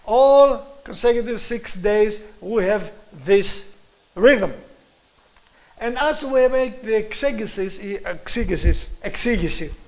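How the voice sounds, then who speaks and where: Greek-accented guy lecturing from slides, kinda high-pitched, earnest and emphatic. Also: expressive, slow. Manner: earnest, emphatic, chuckles at own points.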